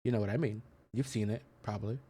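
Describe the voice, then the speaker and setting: A man's voice: lowish voice, fairly even intonation, fast talker, dark tone, husky. A man doing casual let's play commentary, explaining things in a hesitant, rambling way into a close mic. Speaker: gaming content creator.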